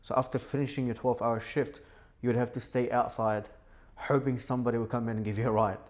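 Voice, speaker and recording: A young man who grew up in Syria, a podcast guest telling his life story in a relaxed, chatty way with frequent fillers. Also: mid-range voice, fast talker. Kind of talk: casual podcast interview. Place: indoor podcast setup.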